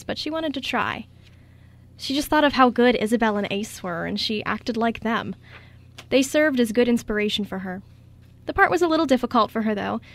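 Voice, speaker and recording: A woman reading scripted story narration as the narrator of a radio drama. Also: fairly high pitch.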